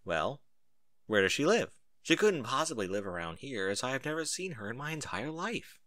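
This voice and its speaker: A man's voice: mid-range voice, big pitch swings, moderate pace, a bit bright, no room sound, clean studio sound. A man voicing a brash young female pegasus pony, speaking bluntly and casually in a story reading. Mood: curious, defensive.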